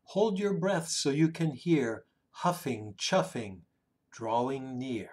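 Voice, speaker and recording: Slow, expressive older man reading aloud. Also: medium pitch, slight background noise. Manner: dramatic, with drawn-out words and hushed phrase endings. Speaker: children's story reader. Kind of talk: expressive picture-book read-aloud. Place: small room indoors at home.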